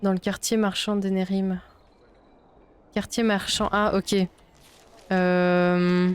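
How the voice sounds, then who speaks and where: A woman's voice: mid-range voice, little pitch movement, slow pace, clean tone. A young woman from Belgium chatting casually while she plays a video game, thinking aloud and swearing often. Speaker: streamer playing a video game. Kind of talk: casual let's-play chat. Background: faint game music.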